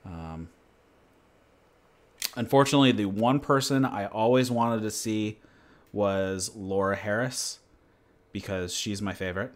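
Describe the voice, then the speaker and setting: Low-voiced laid-back man rambling casually. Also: measured pace, long pauses. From New Hampshire, USA. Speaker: channel host. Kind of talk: casual livestream chat and Q&A.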